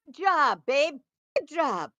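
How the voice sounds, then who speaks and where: A woman's voice: high voice, big pitch swings, slow, bright, forceful tone, lo-fi sound, heavily acted. A woman playing a frightened, pleading wife fleeing danger, in a screenplay table read. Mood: relieved.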